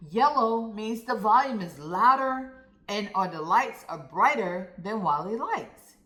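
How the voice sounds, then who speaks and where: Slow, expressive woman storyteller in a roomy space. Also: medium pitch. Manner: expressive, deliberate, addresses young listeners directly. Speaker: storyteller reading aloud to children. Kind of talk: read-aloud storytime narration. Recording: roomy, echoey sound.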